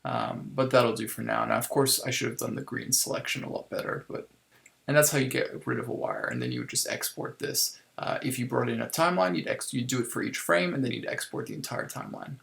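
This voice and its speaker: A man giving a casual software tutorial step by step, thinking aloud informally as he works, in a creaky, gravelly voice. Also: medium pitch, sing-song, fairly quick. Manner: informal, improvised, thinking aloud while working. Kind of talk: casual screen-recorded tutorial, explaining step by step.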